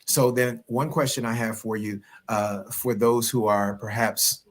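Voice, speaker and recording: A man's voice: medium pitch, fairly even intonation, measured pace. A man hosting a podcast interview, asking questions and responding enthusiastically. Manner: enthusiastic, affirming. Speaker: podcast host.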